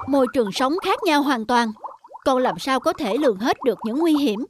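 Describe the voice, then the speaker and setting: A woman's voice: sits high, animated, moderate pace. A woman voicing a protective, strict mother fish in a children's cartoon, scolding and worried. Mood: alarmed, stern. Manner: scolding, firm. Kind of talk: animated children's cartoon dialogue. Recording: children's music behind dialogue.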